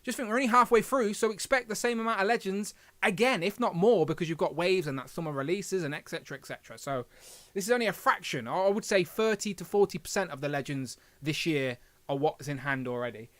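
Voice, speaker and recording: A man with a slight lisp chats and rambles as a live stream host, poking fun at himself. His voice sits high for a man. The sound is dry and close, with no room around it. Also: quick pace, fairly bright tone, dry recording. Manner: chatty, rambling, self-deprecating. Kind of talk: casual live stream chat.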